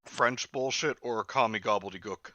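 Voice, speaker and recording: A man's voice: fairly low pitch, moderate pace, sharp tone, no room sound. A man in his thirties hosting a casual podcast with wry, jokey banter. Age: 30s. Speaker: podcast host. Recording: muffled, dry close recording.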